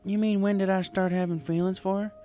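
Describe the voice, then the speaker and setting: A woman voicing an earnest, plain-spoken working farm pony who talks in a halting, folksy way in a story. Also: medium pitch, fairly even intonation, warm tone, voice pushed up, lightly acted. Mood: worried, confiding. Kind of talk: fan fiction character dialogue. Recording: music behind the voice.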